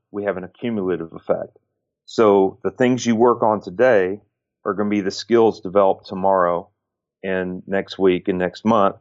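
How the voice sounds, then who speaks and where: Earnest low-voiced man in his late forties. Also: dull audio. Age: 40s. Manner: earnest, repeats and restarts phrases, lists points. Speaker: former pro baseball pitcher, guest. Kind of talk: reflective conversational advice.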